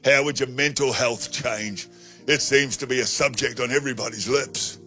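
A man in his sixties preaching a sermon on a studio stage into a handheld mic, emphatic and slowing down to stress key phrases. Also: mid-range voice, fairly even intonation, sharp tone, soft background music. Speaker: pastor. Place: studio stage with colored backdrop.

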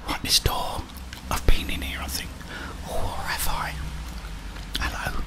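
Casting: man, 30s, constant breathy whisper, hushed muttered gameplay commentary for ASMR. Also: measured pace. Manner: hushed, muttering to himself with tag questions. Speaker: ASMR gaming streamer.

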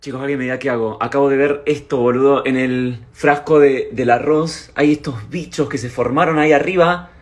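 A man's voice: medium pitch, steady tone, brisk, hardly any pauses, echoey room. A man in his thirties with an Argentine accent, chatting casually to his followers in a dramatic, exclamatory way and often repeating himself. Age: 30s. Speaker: social media vlogger. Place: indoors at home, mostly a kitchen. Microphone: phone, at varying short distance. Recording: noisy handheld phone recording.

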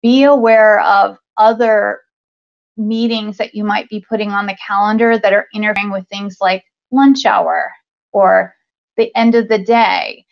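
A woman's voice: mid-range voice, steady tone, a little slow, gravelly. A woman in her thirties giving a conference talk over slides, speaking conversationally with many ums and restarts, via a webcam on a video call. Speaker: conference speaker, talent acquisition leader. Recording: dull lo-fi video call audio.

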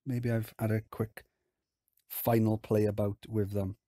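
A man with a hoarse, croaky voice from illness, chatting casually as he talks through a theme park game. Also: lowish voice, measured pace, no echo, studio quality. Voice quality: husky, croaky. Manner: relaxed, chatty, explains as he plays. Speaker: game video host.

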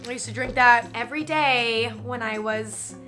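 A woman's voice: a little high, moderate pace, sharp tone, faint music behind. A young woman from Northern California, chatting casually and self-mockingly to camera as a vlogger in a hotel room, with lots of "like" fillers. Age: young adult. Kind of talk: casual vlog chat.

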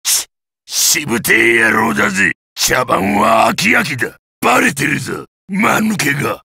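Brutal giant monster warrior, video game battle lines, raspy roar, wildly swinging pitch, bullying, menacing. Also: male voice, very animated, a little slow, sharp tone, no room sound, clean studio sound, exaggerated voice. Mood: menacing taunting. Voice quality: gravelly, rough roar. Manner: coarse, taunting, menacing.

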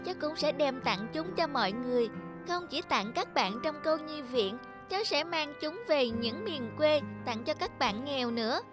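A woman's voice: high-pitched, expressive, normal speed, music in the background. A woman voicing a spoiled rich little girl in a cartoon, who talks in an eager, chatty, childlike way. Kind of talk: cartoon dubbing.

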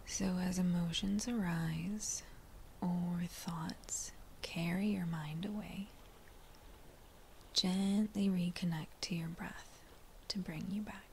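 A woman leading a guided meditation in a gentle, breathy near-whisper, speaking in short unhurried phrases with pauses between them. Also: fairly low pitch, fairly even intonation, a little hiss or hum. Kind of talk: slow guided meditation narration.

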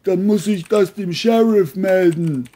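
A dopey, stubborn male cabbie from a crime audio story as put on by a narrator. His voice is extremely dark and dull in tone, and he speaks slowly and bluntly. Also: mid-range voice, husky, pitched above the performer's own voice. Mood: demanding. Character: dim, carefree, stubborn male taxi driver.

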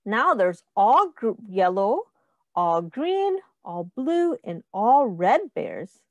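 A woman's voice: mid-range voice, big pitch swings, slow, a little mellow, no echo. A woman reading a children's picture book aloud like a teacher, sing-song and encouraging, with prompts to count along.

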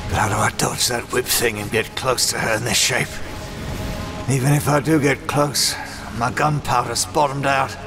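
A man's voice: medium pitch, fairly even intonation, moderate pace, music in the background, lightly acted. A man playing a battle-worn, self-reproaching swordsman, gritted and brooding, in a fan comic dub. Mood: grim resolve.

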